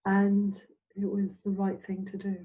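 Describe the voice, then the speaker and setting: An older woman from Scotland, a semi-retired minister, reminiscing in a halting, meandering, reflective way as an interview guest. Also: mid-range voice, monotone, breathy edge. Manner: halting, meandering delivery with trailing phrases. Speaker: interviewed Spiritualist minister and medium. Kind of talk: reflective, anecdotal chat. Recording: dull, phone-like audio.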